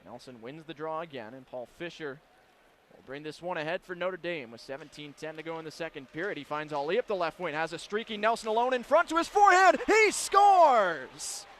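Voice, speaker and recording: A man calling live hockey play-by-play on the radio in an excitable manner, ready to build into shouted calls. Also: on the high side, a little fast. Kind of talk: live sports radio commentary. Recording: live broadcast with clear background noise.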